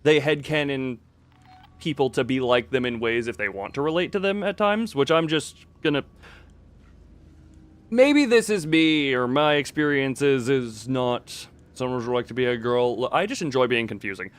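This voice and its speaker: A man livestreaming a game, chatting casually in a halting, rambling way as he thinks aloud. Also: mid-range voice. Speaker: livestream host. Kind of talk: casual chat while playing a horror game live. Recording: clear background noise.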